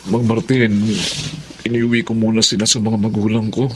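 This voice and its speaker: A man's voice: fairly low pitch, little pitch movement, moderate pace, a little hiss or hum, natural voice. A man acting in a Filipino radio drama as a remorseful reformed addict and father, earnest and polite. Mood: humble, grateful. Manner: earnest, pleading, polite to his elder.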